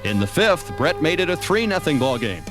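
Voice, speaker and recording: A man's voice: fairly high pitch, big pitch swings, moderate pace. An American man reading scripted narration for a baseball season recap. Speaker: documentary narrator. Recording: music under the voice. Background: background music.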